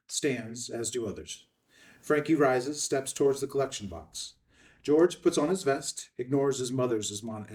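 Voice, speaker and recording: A man's voice: medium pitch, a little mellow, recorded from a distance. A man reading out stage directions as scripted narration at a film table read.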